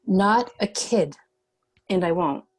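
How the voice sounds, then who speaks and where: Woman as Eden, a low-voiced defiant young cop. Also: lively intonation, slow pace. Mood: defiant, confident. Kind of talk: screenplay table read.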